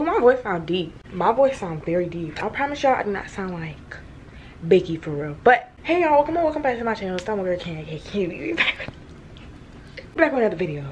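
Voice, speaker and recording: A woman's voice: medium pitch, very animated, noisy background. A teenage girl in casual, playful vlog chat, talking to her phone camera at home and in a store. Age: teens. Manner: chatty, playful, quick asides and laughs. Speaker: vlogger. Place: at home in a bedroom, later in a large store. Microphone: phone camera held at arm's length.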